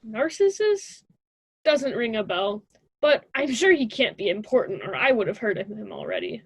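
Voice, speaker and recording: A woman's voice: mid-range voice, very animated, normal speed, vocal fry, breathy edge, no echo, exaggerated voice. A young woman playing a self-important, scheming college student in a radio drama, with a grandiose theatrical delivery. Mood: haughty. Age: young adult.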